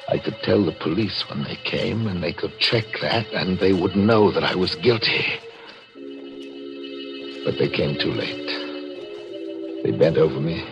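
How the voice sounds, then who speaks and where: A man's voice: low voice, creaky, heavily acted. An actor playing an anguished, guilt-ridden man, narrating his crime with dramatic intensity in a 1940s radio mystery. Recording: old radio broadcast, loud background music.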